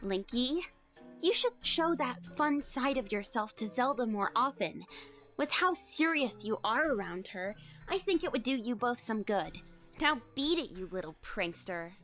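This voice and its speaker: A woman's voice: a little high, expressive, a little slow, a bit bright, soft background music. A woman playing a playful female scientist in an audio drama, bossy yet affectionate, with a teasing, familiar manner. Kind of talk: audio drama character dialogue.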